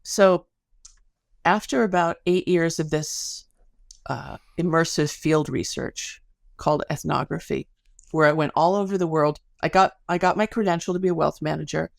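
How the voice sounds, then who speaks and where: A woman with a noticeably creaky voice talks as an interview guest. She explains her research like a storyteller, with casual asides and colorful phrasing. The sound is dry, with no room around it. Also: low-pitched, normal speed, no room sound.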